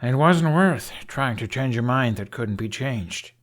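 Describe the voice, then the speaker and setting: A stubborn young Viking outcast, played by a male audiobook reader, with a middle-pitched voice. He argues bluntly and tersely, stating his case as plain fact. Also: expressive, measured pace, close to an everyday voice. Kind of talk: audiobook character acting.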